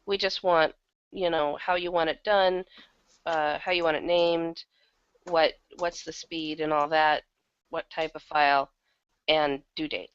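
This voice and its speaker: A woman's voice: sits low, fairly even intonation, measured pace, smooth, no room sound, muffled recording. A woman talking casually as a guest in an interview about her work as a producer. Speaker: guest, audio drama producer.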